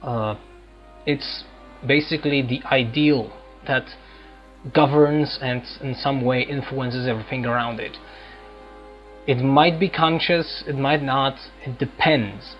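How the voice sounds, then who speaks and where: A man hosting a role-playing game video, giving unscripted, reflective commentary in a halting way. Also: medium pitch, fairly even intonation. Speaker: gaming video host. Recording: slightly dull audio, faint game music. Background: faint game music.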